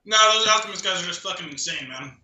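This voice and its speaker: A man's voice: high voice, lively intonation, fast, echoey room, a crowd in the background. A man co-hosting a podcast in casual chat, telling stories with offhand profanity. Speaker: podcast co-host.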